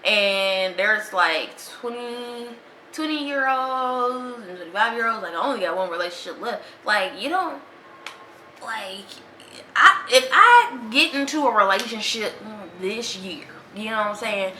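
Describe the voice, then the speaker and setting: A young woman talks straight into the mic, bright and bold. She speaks in a slangy, animated way. The room gives her voice an echo, with a faint hiss underneath. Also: fairly high pitch, measured pace. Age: 20s. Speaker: podcast host. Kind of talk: casual solo monologue. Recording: echoey room with faint noise.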